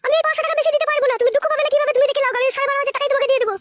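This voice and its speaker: An actor voicing a coy, quarrelsome young woman in a comic cartoon, with an artificially pitched-up voice. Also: fairly even intonation, very fast, noisy background. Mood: indignant.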